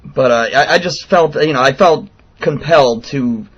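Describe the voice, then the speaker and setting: A man in his late twenties speaks in a fairly high voice on a muffled, lo-fi call. He is earnest and persistent, stumbling through an informal complaint as he keeps pushing his point. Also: moderate pace, a bit bright, breathy edge. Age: late 20s. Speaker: radio show host calling in a complaint. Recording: dull, lo-fi phone call recording.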